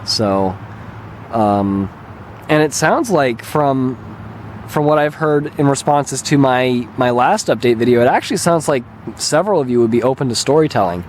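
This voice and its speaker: A man speaks at a middle pitch with a slightly raspy, hoarse edge. He chats in an unhurried, musing way, as a channel host would. He is recorded outdoors on a handheld camera, with faint ambient noise around him. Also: lively intonation. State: mildly hoarse, recovering throat. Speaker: video channel host and gamer. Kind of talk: casual rambling vlog talk. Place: open lakeshore beach outdoors. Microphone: handheld camera held by the speaker.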